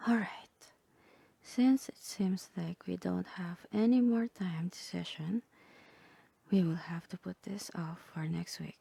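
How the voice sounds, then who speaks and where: A woman voicing a calm, composed therapist in a soft, breathy, half-whispered ASMR roleplay, measured and clinical. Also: on the low side, no echo, full character voice. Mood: soothing, professional. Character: calm, composed female therapist guiding a patient through roleplay.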